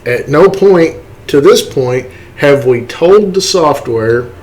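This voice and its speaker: A man instructing in a casual, step-by-step software tutorial, explaining things and often checking in with short tag words. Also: on the high side, expressive, takes it slowly, a little hiss or hum, low-quality recording.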